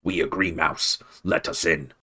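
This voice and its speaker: A man's voice: a bass voice, fairly even intonation, a little slow, pushed and bright, slightly rough, full character voice. A man voicing a menacing one-eyed male rat warlord, talking with growling contempt. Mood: scornful.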